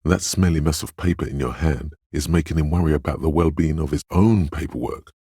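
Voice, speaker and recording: A man playing the gentle, perceptive inner voice of empathy in a role-playing game, narrating in a measured, intimate, solemn and literary way. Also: no echo, clean studio sound, exaggerated voice. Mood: tender, perceptive. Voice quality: very deep, gravelly, husky.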